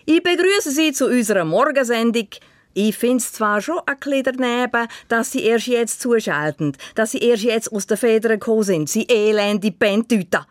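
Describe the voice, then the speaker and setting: A woman doing a cheeky morning radio host who greets her listeners and then cheerfully tells them off, bright and a bit loud, lively up-and-down delivery, dry close sound with no room at all. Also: on the high side, moderate pace, dry recording, close to an everyday voice. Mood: cheeky scolding. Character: brash female morning radio host from Graubünden.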